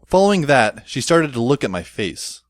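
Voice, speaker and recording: A man playing a wry, introspective schoolboy who narrates an audio drama in a dry, measured, literary way. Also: medium pitch, very animated, no echo, lightly acted. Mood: thoughtful. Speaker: narrator and lead character. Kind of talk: first-person scripted audio drama narration.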